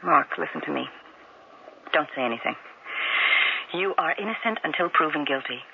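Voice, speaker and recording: Worried wife begging her husband, gravelly urgent voice, muffled old radio. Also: sits low, normal speed, slight background noise. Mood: pleading. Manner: pleading, urgent. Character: anxious protective wife. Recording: dull old radio broadcast.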